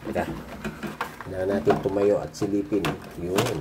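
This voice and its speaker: A Filipino man doing a casual, unscripted show-and-tell as a vlogger, halting and muttering to himself as he handles things. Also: low-pitched, level tone. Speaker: vlogger showing his cassette collection. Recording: noisy recording with handling clicks.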